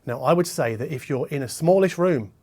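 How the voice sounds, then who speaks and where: A man's voice: a little high, lively intonation, brisk, darkish tone, husky. Conversational man reviewing audio gear. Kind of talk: conversational product review to camera. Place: smallish listening room.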